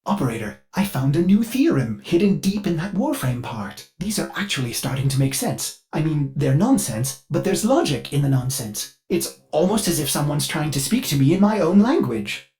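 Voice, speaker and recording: A ship's computer servant from a video game, eager and fussy in its courtesy. The delivery is precise and theatrical, swooping widely in pitch with a manic edge. Faint music sits behind the voice. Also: male voice, very animated, moderate pace, darkish tone. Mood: intrigued, explaining. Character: ship artificial intelligence servant, fussy, eager, excitable, with violent outbursts. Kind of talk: scripted video game character dialogue.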